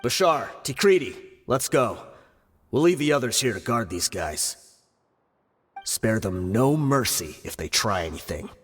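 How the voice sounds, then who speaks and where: A firm, protective mercenary leader in a video game. His voice has mid pitch and a long reverb. Soft music runs behind. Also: animated, measured pace, husky.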